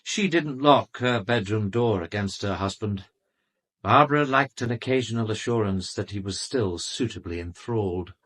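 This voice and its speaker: An actor playing an arrogant, urbane British doctor in a radio drama, speaking with haughty, defensive precision. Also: male voice, fairly low pitch, normal speed, fairly bright tone. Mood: smug. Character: arrogant, urbane male doctor under police questioning.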